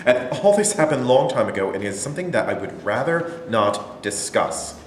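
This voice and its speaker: A man's voice: medium pitch, big pitch swings, moderate pace, natural voice. A man acting the part of a defensive, evasive elderly Holocaust survivor accused of collaborating, protesting in a staged play reading. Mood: indignant, defensive. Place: indoor room at a staged reading. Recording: echoey room, light noise, distant pickup.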